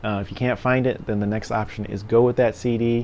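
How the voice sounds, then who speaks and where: A man's voice: fairly low pitch, little pitch movement, brisk, darkish tone. A middle-aged man giving his opinions in a casual, conversational vlog style, rambling along as a reviewer. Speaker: music gear reviewer and vlogger. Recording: clear background noise.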